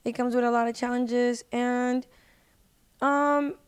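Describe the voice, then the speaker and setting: A woman with a fairly high, very clear and smooth voice talks casually as a podcast guest. Her delivery is earnest and conversational, with little rise and fall in pitch. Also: level tone, slow. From United States. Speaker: podcast guest, singer and social media personality.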